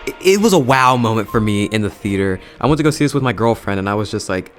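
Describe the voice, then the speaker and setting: A man's voice: fairly low pitch, animated, fast, soft background music. A young adult man giving a casual, enthusiastic and emphatic video movie review in slangy language. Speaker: YouTube reviewer.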